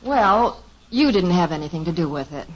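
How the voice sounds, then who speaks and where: An actress playing a calm, composed middle-aged mother in a 1950s radio sitcom who gently mocks her husband. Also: fairly low pitch, normal speed. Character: calm, dryly teasing mother and wife. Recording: dull lo-fi old radio transcription.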